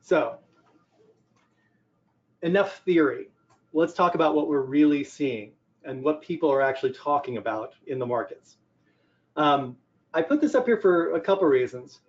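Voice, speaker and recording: A man's voice: a little high, lively intonation, normal speed, long pauses. An older man giving a conversational presentation on business technology, explaining things by posing questions and answering them. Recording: dull, lo-fi audio.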